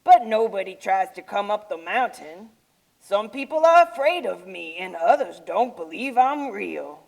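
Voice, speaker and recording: Woman as a slow, creaky-voiced gentle yeti. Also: mid-range voice, big pitch swings, rough recording. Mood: gentle, wistful. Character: misunderstood, lonely, gentle male yeti living atop mountain. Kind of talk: children's puppet show acting.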